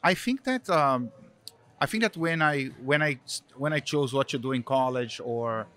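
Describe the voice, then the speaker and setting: Brazilian-accented man, middle-aged, mid-pitched, rambling with fillers, casual interview guest. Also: normal speed, sharp tone. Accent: Brazilian Portuguese. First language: Portuguese. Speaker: guest, marketing executive.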